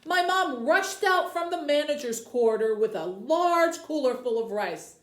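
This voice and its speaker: A woman with a fairly high voice speaks as a librarian addressing tweens. Her pitch moves up and down in a very animated way, while her pace stays slow and deliberate, as in a book talk or read-aloud. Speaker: youth services librarian hosting a book program.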